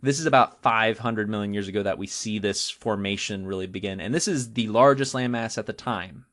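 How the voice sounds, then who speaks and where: A man co-hosting a podcast, explaining natural history in a casual, informal and jokey way with drawn-out emphasis on key terms. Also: lowish voice, fairly bright tone. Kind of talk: casual explanatory talk with a co-host.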